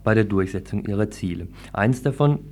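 A man with a fairly low voice hosts a radio news magazine. He reports as a presenter, with an informal touch to his delivery. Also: lively intonation, brisk, gravelly, a little mellow.

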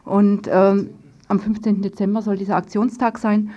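A woman's voice: medium pitch, level tone, moderate pace, warm tone, muffled recording. A woman hosting a radio news magazine, presenting partly from a script and putting questions to guests. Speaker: radio host.

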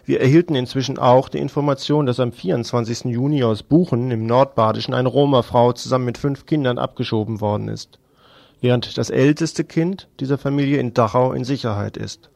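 A man's voice: mid-range voice, a little fast, creaky, darkish tone, dry recording. A man presenting radio news, reading a scripted report.

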